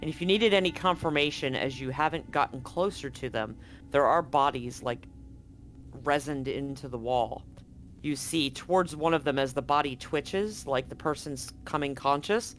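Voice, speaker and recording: A man narrating a tabletop horror game as game master, in a relaxed, descriptive way. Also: medium pitch.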